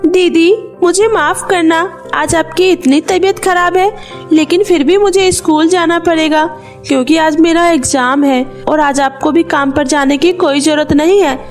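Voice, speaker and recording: A woman's voice: extremely high pitch, steady tone, normal speed, faint music behind, pitched below the performer's own voice. A woman voicing a poor, devoted, anxious young schoolgirl in a children's story, with a pleading, emotional manner. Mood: worried.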